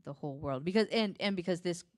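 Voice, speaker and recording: A woman guest on a podcast, casually recounting a case in conversation, often pausing mid-thought. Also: fairly low pitch. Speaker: podcast guest telling a true-crime case.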